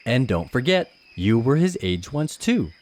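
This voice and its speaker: A man playing a patient father who gently teases, reassures and explains things in an animated children's storytime. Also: mid-range voice, a little slow, a little hiss or hum. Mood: gently teasing.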